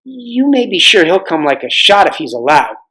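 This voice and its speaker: A storybook schoolgirl, acted out by a man. She is frank and plain-spoken but a little hesitant, with a pitch that moves about freely. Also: sing-song, normal speed, sharp tone. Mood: earnest explaining. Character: frank, girlish schoolgirl adventurer.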